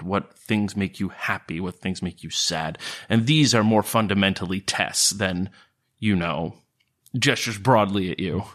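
A man performs a reserved serpent-folk thinker for a tabletop fantasy game. The voice sits fairly low. He words things formally and philosophically, measured and cerebral. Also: fairly bright tone. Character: stiff, cerebral male serpent-folk outcast, emotionally reserved.

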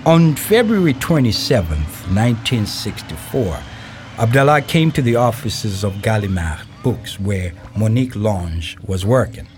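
Guy narrating a documentary radio play, scripted, lowish voice, music under him. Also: sing-song, unhurried, a little mellow.

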